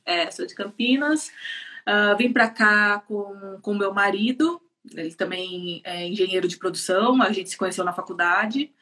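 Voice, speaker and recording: A woman's voice: mid-range voice, normal speed, pushed and bright. A woman in her thirties from São Paulo state in Brazil, with a Brazilian accent, chatting as a guest on a live interview, laughing often and using many fillers. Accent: Brazilian Portuguese, São Paulo state. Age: 30s. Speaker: guest, production engineer.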